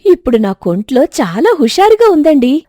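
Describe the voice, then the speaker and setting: A woman puts on a devout young wife from a moral story, respectful and eager. The voice is very high and animated, swinging widely in pitch, in a dry recording. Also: normal speed, dry recording. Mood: excited, amazed. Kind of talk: scripted moral-story dramatization.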